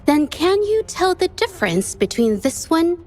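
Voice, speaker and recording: An earnest young painter speaks up with resolve in a video game and stumbles now and then. Her voice is high, and faint music plays under her lines. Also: very animated, unhurried, darkish tone, exaggerated voice. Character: earnest young female painter standing firm.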